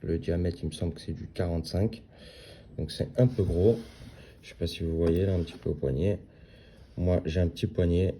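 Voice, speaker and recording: A man's voice: low-pitched, steady tone, normal speed, mellow, a little hiss or hum. A man in his thirties giving a casual, hesitant unboxing review of a watch, with many fillers and restarts. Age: 30s.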